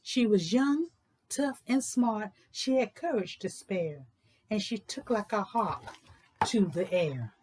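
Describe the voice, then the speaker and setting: A woman host reading aloud for children with expressive, emphatic phrasing. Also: medium pitch, takes it slowly, noisy background. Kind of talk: expressive picture book read-aloud in rhyming verse.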